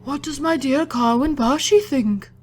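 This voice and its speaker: A woman voicing an anxious, doting and sentimental camel in a storybook, with a drawn-out, fussy, emotional delivery. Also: high-pitched, darkish tone, voice pushed up. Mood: adoring.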